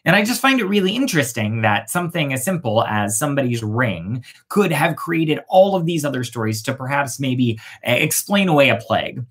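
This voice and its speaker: An expressive storyteller with a husky voice that rises and falls a great deal. Phrases are shaped with dramatic pauses. The manner is casual and playful, as a host sharing fairy tales. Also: mid-range voice.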